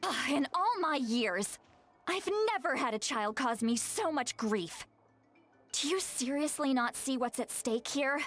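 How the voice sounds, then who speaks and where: A sharp-tongued video game granny with a high, swooping voice. She scolds sternly and dramatically, full of exasperation, with music playing behind her. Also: expressive, unhurried, heavily acted. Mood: scolding, exasperated.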